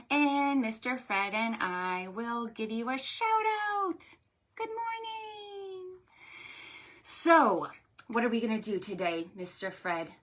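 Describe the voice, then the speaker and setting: Woman, children's librarian, high-pitched, unhurried, sing-song, exaggerated child-directed delivery, storytime hosting. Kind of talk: preschool storytime, talking to small children.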